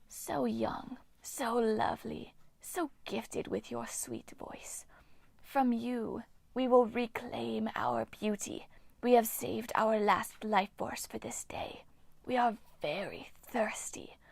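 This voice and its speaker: A woman voicing a bitter, ancient female water nymph in a slow, hissing whisper. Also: on the high side, steady tone, creaky, darkish tone, no echo, exaggerated voice. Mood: menacing.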